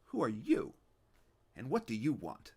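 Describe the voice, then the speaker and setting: A man's voice: medium pitch, huge pitch swings, a little slow, mellow, slightly rough, pitched above the performer's own voice, full character voice. A man voicing a grumbling old male lamp genie in a storybook read-aloud, wisecracking and short of breath. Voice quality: kvetching, wisecracking, sing-song questions. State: out of breath, tired.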